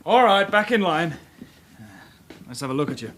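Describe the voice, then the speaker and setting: British police officer, moderately high pitch, gruff, brisk, businesslike, matter-of-fact, radio crime drama. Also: male voice, big pitch swings, a bit bright, a little hiss or hum. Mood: bossy.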